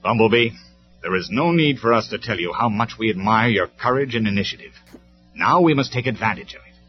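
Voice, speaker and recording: A man's voice: on the low side, animated, fairly quick, voice pushed up, natural voice. A man voicing a noble robot commander in a storybook reading, speaking in a stern, formal, declamatory way. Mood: proud. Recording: dull lo-fi recording with music.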